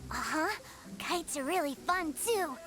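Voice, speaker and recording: A woman's voice: high-pitched, lively intonation, unhurried, a bit bright, soft background music. A woman playing an innocent, eager little boy in a video game, with a childlike voice. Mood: absorbed, innocent.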